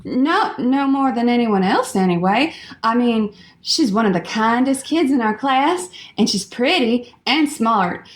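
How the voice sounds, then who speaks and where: High-voiced, timid child played by a woman. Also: lively intonation, measured pace, few pauses, reverberant. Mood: earnest. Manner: hesitant, gentle, naive. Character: timid, kind-hearted, questioning farm child. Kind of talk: comedy radio theatre.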